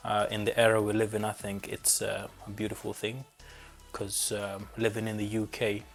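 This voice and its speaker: A man's voice: lowish voice, monotone, normal speed, creaky, faint music behind. A young man from Rwanda who grew up in Belgium, answering interview questions reflectively and searching for words. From born in Rwanda, grew up in Belgium.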